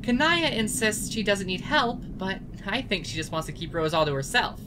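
A woman's voice: mid-range voice, very animated, moderate pace, noisy background, natural voice. A woman voicing a caring, blunt and protective teenage sister in an earnest fan dub. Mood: light, reassuring. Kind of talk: emotional fan-dub voice acting.

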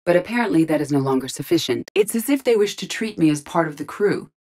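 An actress voicing a female artificial intelligence in a video game, speaking in a measured, formal, precise and detached way. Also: deep voice, expressive, a little mellow. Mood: calm, analytical. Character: calm, analytical female artificial intelligence in an android body.

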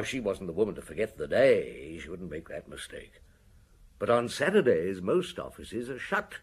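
A man's voice: medium pitch, husky. An actor in a radio drama playing a shrewd, weary, stern police chief, blunt and scornful as he interrogates. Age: middle-aged.

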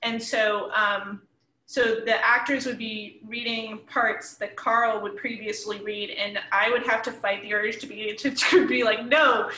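A woman in her thirties chatting casually as a panel guest, talking in an animated way with laughter in her speech. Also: on the high side, unhurried, slight background noise. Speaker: comics creator and panel guest. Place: indoors at home, room with bookshelf, video call. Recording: echoey room, shared mic.

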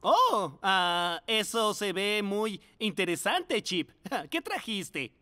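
A woman voicing a kind, patient animal schoolteacher in a children's cartoon dub, gentle and encouraging. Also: mid-range voice, very animated, slow pace, dry recording, full character voice.

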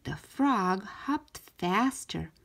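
Slow, animated woman reading a children's story. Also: mid-range voice, vocal fry, slightly rough. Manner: animated, careful storytelling delivery. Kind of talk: expressive children's read-aloud narration.

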